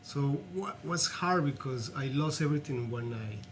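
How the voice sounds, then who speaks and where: A man from Colombia with a Colombian accent, telling his story earnestly in halting non-native English as an interview guest. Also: medium pitch, lively intonation, background noise. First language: Spanish.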